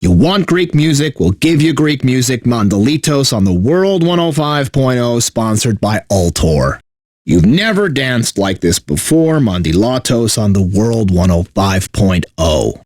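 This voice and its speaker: Bitter radio DJ, wildly swooping delivery. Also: male voice, medium pitch, sing-song, a little slow, a little mellow, dry recording, clean studio sound. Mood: forced radio cheer. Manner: exaggerated announcer delivery with sardonic, exasperated asides. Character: bitter, burnt-out male corporate radio DJ, sarcastic and despairing. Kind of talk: radio DJ links with sarcastic asides.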